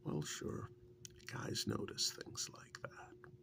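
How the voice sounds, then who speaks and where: A man playing a fondly reminiscing, teasing male partner and former captive in an ASMR role-play, in a breathy half-whisper with gravelly fry and a halting, conversational delivery. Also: lightly acted. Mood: teasing, intimate. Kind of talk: soft-spoken ASMR role-play monologue.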